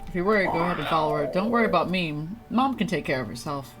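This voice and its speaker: Playful, animated woman streaming a game. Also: fairly low pitch, fast, loud music behind the voice. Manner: playful, teasing, informal. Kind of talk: casual chat reacting to a game.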